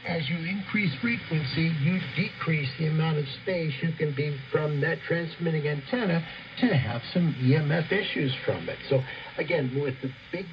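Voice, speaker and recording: A man's voice: on the high side, moderate pace. A man presenting ham radio news over shortwave, reading from a script with chatty asides in an enthusiastic, emphatic manner. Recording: noisy, muffled shortwave radio broadcast.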